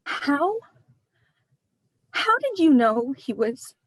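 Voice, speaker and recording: A woman's voice: high-pitched, very animated, very slow, a bit bright, dry recording, full character voice. A woman playing a frightened, pleading young woman, a victim in a horror play reading. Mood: uneasy. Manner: pleading, tearful outbursts. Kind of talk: dramatic livestream script reading.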